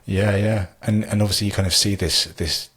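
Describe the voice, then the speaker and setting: A man's voice: lowish voice, fairly even intonation, fairly quick, a bit bright, slightly rough. A British man hosting a podcast interview, talking in a rambling, hesitant way with many ums. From Britain. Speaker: podcast host. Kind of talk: interview chat.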